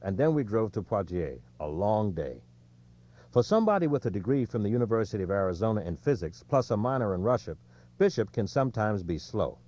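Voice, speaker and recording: A man reading a nonfiction sports audiobook in a measured storytelling way, with emphasis on the quotes. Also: on the low side, warm tone, dull audio. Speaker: audiobook narrator. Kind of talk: scripted nonfiction narration of a sports book.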